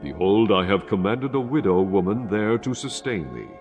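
An actor voicing God in a dramatized Bible, giving solemn commands in a grave, declamatory manner. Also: male voice, fairly low pitch, sing-song, normal speed, darkish tone, faint music behind.

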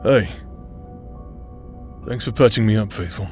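A man's voice: lowish voice, a little slow, a little mellow, faint music behind, natural voice. A man acting out a cocky, battle-worn adventurer, protective and teasing, in an audio roleplay. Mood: tender, flustered.

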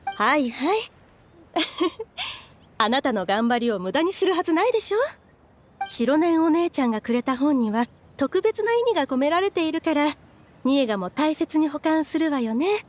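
Refined motherly woman, high lively pitch. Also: normal speed, a little hiss or hum. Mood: polite, composed. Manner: polite, ladylike, evasive. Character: refined middle-aged motherly woman, controlling and guarded. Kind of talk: anime game voice acting. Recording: background music under the voice.

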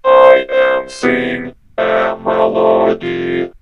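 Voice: very slow, no echo, narrow, tinny audio, faint music behind. A synthetic, robotic vocoder voice delivering melodic demo phrases.

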